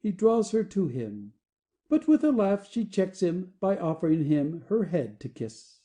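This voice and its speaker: A woman's voice: lowish voice, very animated, a little slow, dark tone. A woman giving scripted narration, reading out the stage directions of an audio play.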